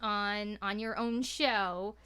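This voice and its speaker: A woman's voice: medium pitch, fairly even intonation, takes it slowly, sharp tone, clean tone. A woman speaking as a podcast guest in casual chat, informal and blunt, swearing freely.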